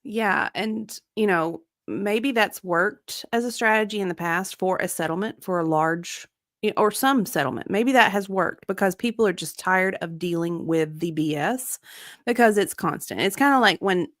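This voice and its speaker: A woman giving her opinions in casual co-host chat, explaining her thoughts in a deliberate, everyday way. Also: mid-range voice, a bit bright, no room sound, clean studio sound. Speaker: co-host commentator.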